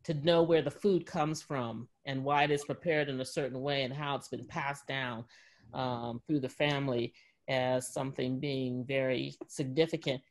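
A woman's voice: rumbling low, steady tone, normal speed. Woman in her forties, panel moderator on a video call from home, chatty. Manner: chatty, many fillers, laughs. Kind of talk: casual panel discussion. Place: home room, indoors. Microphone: video call webcam.

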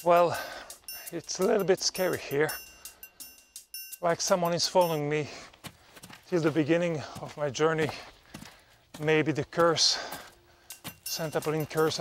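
A Belgian-accented man in his fifties talks slowly and unhurriedly, in a slightly raised voice. He clowns his way through a forest walk with playful, mock-dramatic storytelling. He speaks to a handheld camera outdoors, with faint music underneath. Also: a little high, steady tone. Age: 50s. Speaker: travel vlogger. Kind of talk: casual vlog to camera.

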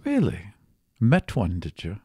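A man voicing a sly, secretive, creepy woman with a coy, insinuating, drawn-out delivery. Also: fairly low pitch, huge pitch swings, mellow, husky, no room sound, studio quality, full character voice.

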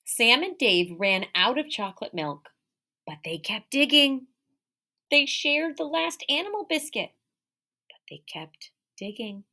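Animated woman teacher reading to children. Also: high-pitched, measured pace. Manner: engaging young listeners with questions and prompts; expressive, stressing key words. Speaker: elementary school teacher. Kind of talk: animated children's picture-book read-aloud with asides. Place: small indoor room by a window.

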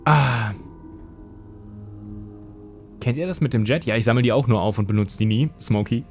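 A man's voice: on the low side, a little mellow. A young man streaming a game from his home setup through a headset mic, chatting loosely with his viewers and answering their messages. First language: German. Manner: chatty, rambling, reads and answers chat. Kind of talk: casual live-stream chat while gaming. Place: home gaming room. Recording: faint game music underneath.

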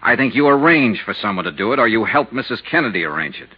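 An actor playing a tough, persistent insurance investigator in a 1950s radio drama, with a blunt, pressing, hard-boiled delivery. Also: male voice, fairly low pitch, very animated, fast talker, husky. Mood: stern, accusing. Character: tough, persistent male insurance investigator narrating his case. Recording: muffled vintage 1950s radio recording.

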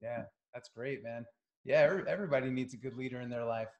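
A man in his forties, an easygoing host, chats over a video call and offers short, affirming replies to his guest. His voice sits at a middle pitch, and the room around him sounds noticeably echoey. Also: quick pace, lo-fi sound, crowd noise. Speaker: podcast host, coach. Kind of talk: conversational interview. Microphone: video call webcam.

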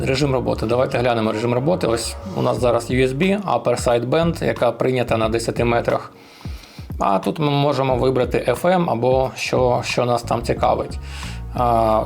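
A man's voice: mid-range voice, gravelly, a little mellow. A man explaining equipment settings step by step in a casual hands-on tutorial, often using cute diminutive words. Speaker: amateur radio vlogger.

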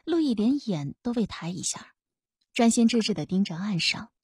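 A woman reading a historical novel as an audiobook narrator, with expressive scripted delivery. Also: mid-range voice, moderate pace, husky, no room sound, clean studio sound.

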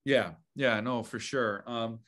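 A man hosting a book channel interview, enthusiastic and halting, full of fillers and restarts. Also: mid-range voice, fairly even intonation, fairly bright tone. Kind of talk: casual interview conversation.

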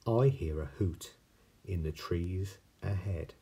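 A huge, gullible beast from a children's storybook, played by a man. It sounds husky and hungry, with emphatic lines. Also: deep voice, slow, dark tone, heavily acted. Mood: wary.

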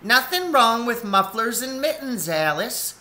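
A man's voice: extremely high pitch, big pitch swings, a little slow, bright, forceful tone, voice pushed up, heavily acted. A man voicing a consoling female raccoon neighbour in a storybook, matter-of-fact. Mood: reassuring.

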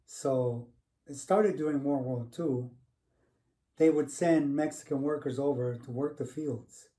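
A man's voice: medium pitch, mellow, natural voice. A middle-aged man playing a reflective son of immigrants, telling his family history in a first-person monologue. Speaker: actor in a dramatized monologue. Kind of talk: dramatized first-person storytelling. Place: indoors, home room. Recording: slightly distant room microphone.

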